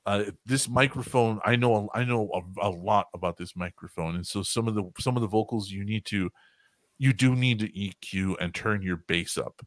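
Man, deep voice, podcast host, rambling, swears, dry sound. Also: normal speed, no room sound. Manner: rambling, with many fillers and restarts, swearing. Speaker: podcast host reviewing a song. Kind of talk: casual streamed chat. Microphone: streaming mic with heavy bass cut.

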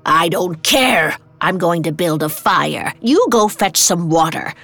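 Woman playing a slow, creaky, cruel old witch. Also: lowish voice, expressive, a bit bright, faint music behind, full character voice. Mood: furious, impatient. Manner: menacing, scornful, demanding. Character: cruel, impatient old witch who eats children.

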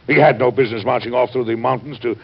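Stern middle-aged president, husky, formal, measured, weary and principled, dull vintage radio recording. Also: male voice, medium pitch, steady tone. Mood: stern, troubled. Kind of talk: historical radio drama acting.

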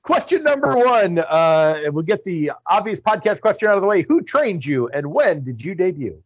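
A man's voice: sits high, very animated, normal speed, dull audio. A man in his forties co-hosting a podcast with wry jokes. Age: 40s. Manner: wry, joking. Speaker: podcast co-host. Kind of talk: casual podcast chat.

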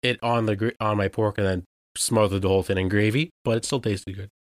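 A man's voice: fairly low pitch, steady tone, fast, no echo. Guy with a cold, easygoing podcast chat, dry mic, kinda rambly. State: has a cold. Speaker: podcast co-host. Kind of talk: casual chat.

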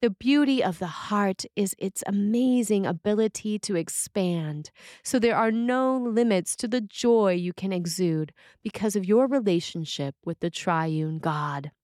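A woman reading a scripted sermon in a measured, deliberate way with pauses between phrases. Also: mid-range voice, a little mellow, no echo, studio quality. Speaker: sermon reader.

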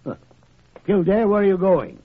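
An actor playing a gruff, commanding older doctor with a fatherly streak, in theatrical old-time radio drama style. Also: high-pitched, lively intonation, moderate pace. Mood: sly, amused. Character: gruff, blustery older male senior doctor, fatherly to patients. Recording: tinny, band-limited old radio recording.

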